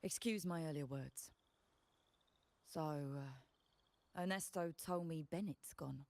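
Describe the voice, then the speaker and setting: Frank, low-voiced woman trader. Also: slow, a little mellow, no echo. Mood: wary, exasperated. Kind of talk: video game voice acting.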